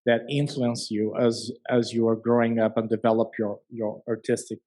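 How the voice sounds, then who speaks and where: Halting talk-show host on a call. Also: medium pitch, level tone, dark tone. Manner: halting, restarts phrases. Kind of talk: interview questions.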